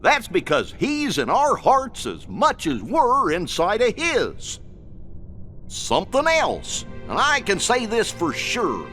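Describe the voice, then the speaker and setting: A man's voice: high-pitched, huge pitch swings, slow pace, fairly bright tone, exaggerated voice. A man voicing a kind-hearted, loyal cartoon dog sidekick in a video game, with a dopey drawl. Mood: earnest. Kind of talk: animated game voice acting. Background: game music.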